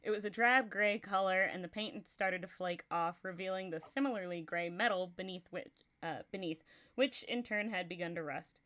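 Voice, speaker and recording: A woman's voice: fairly low pitch, normal speed, pushed and bright. A young woman from Texas with a Texan accent, reading a story aloud on a livestream and chatting casually, laughing often.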